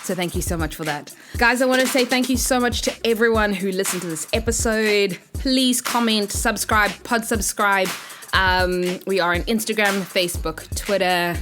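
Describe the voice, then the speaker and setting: A woman in her twenties, a podcast host, wrapping up the episode in an upbeat, quick sign-off. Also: medium pitch, music in the background. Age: 20s. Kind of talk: podcast outro.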